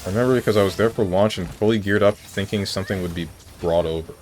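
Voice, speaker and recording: Low-ish voiced guy streaming a game, joking with chat, game music playing under him. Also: fast talker. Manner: joking, answers chat, bursts of mock excitement. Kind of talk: casual live-stream chat while gaming.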